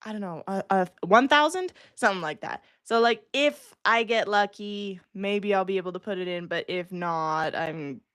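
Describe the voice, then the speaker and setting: Chatty giggly woman on a writing stream, mid pitch, self-deprecating, dry close audio. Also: moderate pace, few pauses, a bit bright, clean tone, no room sound. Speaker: writer, livestream co-host. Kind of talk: casual chat.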